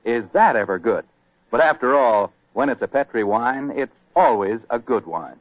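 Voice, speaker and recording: Man, vintage radio announcer, mid-pitched, emphatic, folksy, narrow tinny sound. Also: expressive, normal speed, no echo. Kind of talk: 1940s radio advertising and narration. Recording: tinny, dry old radio recording.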